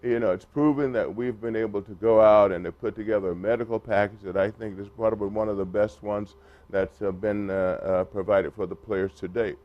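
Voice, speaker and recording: A man speaking at a press conference for a retired players group, halting, with many fillers and restarts. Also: fairly low pitch, steady tone. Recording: muffled lo-fi recording.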